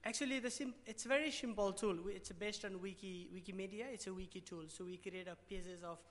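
A man's voice: sits high, steady tone, a little fast, fairly bright tone. Nepali-accented man at a hall podium. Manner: hesitant, many restarts and fillers. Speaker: award presenter. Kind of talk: conference pitch talk. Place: large dim conference hall, at a podium. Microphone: podium mic. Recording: reverberant hall.

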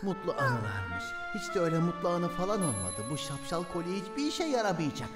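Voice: mid-range voice, wildly swooping pitch, a little fast. An actor voicing a grumpy, boastful, complaining animal in a children's cartoon, with exaggerated delivery. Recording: loud music under the voice.

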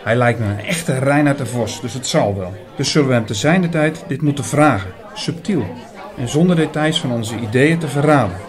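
A man playing an earnest older priest who narrates a radio drama in a measured way, with dramatic pauses. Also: mid-range voice, expressive, natural voice. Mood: calm. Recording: busy chatter behind the voice. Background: busy restaurant crowd.

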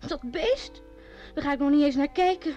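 A woman's voice: sits high, normal speed, dark tone, faint music behind, close to an everyday voice. A woman playing a wistful, jealous young innkeeping daughter of a mayor in a radio play, on a dull old recording. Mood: wistful, sulky. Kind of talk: radio drama acting.

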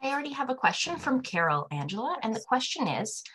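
A woman moderating the chat of an online workshop, speaking quickly and eagerly over a video call. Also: a little high, sing-song, fairly bright tone, slightly rough, rough recording. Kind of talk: brief online announcements.

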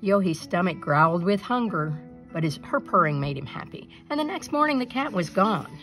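Expressive woman reading a children's story over music. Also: lowish voice, moderate pace. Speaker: storyteller reading a picture book aloud.

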